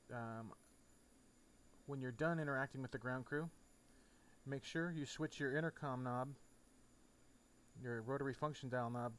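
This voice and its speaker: A man presenting an informal step-by-step game tutorial in a casual, instructional way. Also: mid-range voice. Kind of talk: casual step-by-step tutorial narration over a flight simulator. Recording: clear background noise. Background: steady noise, game sound off.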